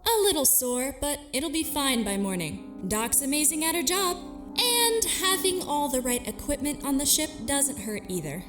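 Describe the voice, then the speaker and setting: A woman acting a high-voiced, spirited spaceship crewwoman. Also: very animated, moderate pace, music in the background. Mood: upbeat, reassuring. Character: tough, upbeat young female spaceship crew member. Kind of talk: dramatic audio drama acting.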